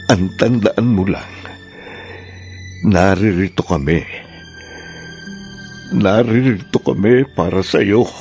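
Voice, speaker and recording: An actor with a Filipino accent playing a gentle middle-aged father in a radio drama, husky and tenderly reassuring. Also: on the low side. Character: gentle middle-aged father comforting his sick child.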